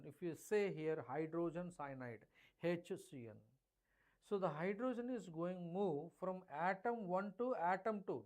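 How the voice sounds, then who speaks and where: High-voiced Indian chemistry teacher lecturing. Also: male voice. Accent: Indian English. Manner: emphatic, addresses students directly, mixes in Hindi phrases. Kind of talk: live online exam revision lecture.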